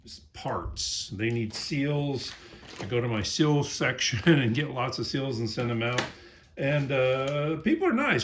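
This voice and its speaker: A middle-aged man with a low voice, tired after a long day, talking plainly to camera about the things he has for sale, with many ums and uhs. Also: slight background noise. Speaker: small airgun parts maker. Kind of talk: casual sales talk to camera.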